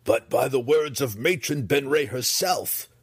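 Male narrator as elf priestess, stern, emphatic, formal, higher husky voice, fantasy audiobook. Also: a little slow, no echo, studio quality. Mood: insistent. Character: stern dark elf priestess, eldest daughter, insistent.

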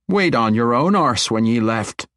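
A man's voice: on the high side, lively intonation, normal speed, dry recording, clean studio sound, voice pushed up. A man voicing a young female dwarf general who speaks bluntly and proudly in a dwarven brogue. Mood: defiant.

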